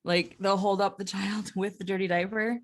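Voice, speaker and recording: A woman speaks as a podcast co-host in a casual panel chat. Her pitch sits in the middle range for a woman, and she talks in a frank, conversational way. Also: little pitch movement, moderate pace.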